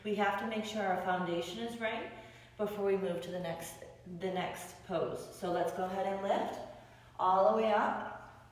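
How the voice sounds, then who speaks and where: A raspy-voiced woman leads a beginner yoga class with playful, encouraging cues, sounding tired after many classes. Her voice comes from well away from the mic. It rings through a very echoey room with a long, trailing reverb. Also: mid-range voice, far from the mic. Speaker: yoga instructor. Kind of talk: guided yoga class instruction.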